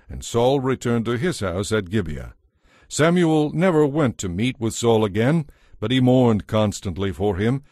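A man's voice: mid-range voice, a little mellow, no echo, clean studio sound. A man narrating a dramatized audio Bible in a scripted storytelling style.

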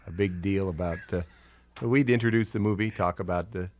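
A man's voice: sits low, fairly quick. An American man, a musician, chatting casually and jokingly in an interview.

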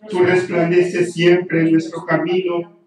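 A middle-aged man, a priest, slowly reciting the prayers of the Mass at the altar of a small church. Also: sits high, monotone, darkish tone. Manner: slow, measured recitation. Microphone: handheld mic at times, heard from some distance. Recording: very echoey church, far from mic.

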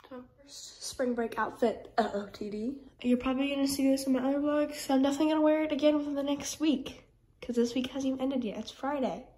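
A woman's voice: high voice, fast talker, dark tone, echoey room. A teenage girl vlogging casually to her phone at home, rambling with lots of fillers while she has a head cold. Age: teens. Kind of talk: casual vlog chat to camera. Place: bedroom at home, indoors. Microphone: phone camera at arm's length.

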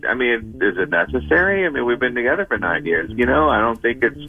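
Creaky-voiced hesitant man calling in. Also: medium pitch, big pitch swings, phone-quality sound. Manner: hesitant, halting. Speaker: radio show caller. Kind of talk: conversational phone-in. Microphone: phone call.

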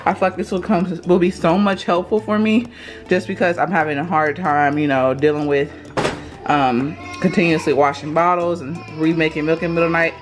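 A young woman with a Texan accent talks directly to her viewers in a relaxed, chatty way. She films herself at arm's length in her home kitchen, and a little music plays faintly beneath her voice. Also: sits low, fairly quick. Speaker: vlogger. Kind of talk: casual vlog chat. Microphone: handheld camera at arm's length.

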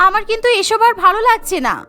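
Woman voicing a high, bright, fussy young housewife. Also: steady tone, very fast. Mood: upset, complaining. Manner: fussy, insistent, chatty. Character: busy, chore-obsessed young Bengali housewife. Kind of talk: cartoon dialogue.

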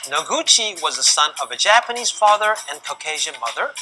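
Korean-born male host, middle-aged, animated scripted delivery with hand gestures, music bed underneath. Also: on the high side, fairly quick, rough recording. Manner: lively, emphatic delivery with sweeping hand gestures. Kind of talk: scripted presenting to camera. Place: large indoor museum gallery.

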